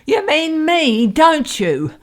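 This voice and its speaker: A woman's voice: high-pitched, big pitch swings, slow, full character voice. A woman playing a naive, lovelorn woman in a comic radio soap, with childlike, melodramatic pleading. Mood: indignant. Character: tearful, naive, lovelorn woman longing for marriage.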